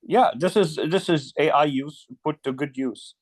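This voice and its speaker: Halting man in a dry-sounding chat. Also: a little high, dry recording. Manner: halting, often repeating words. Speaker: video creator and guest in a community video chat. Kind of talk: casual chat. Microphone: close microphone on a video call.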